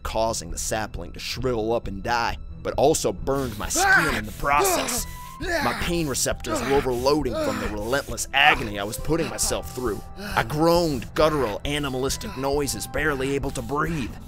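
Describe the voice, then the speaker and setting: Low-voiced man narrating horror over music. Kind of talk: dramatic scripted first-person horror narration. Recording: music behind the voice.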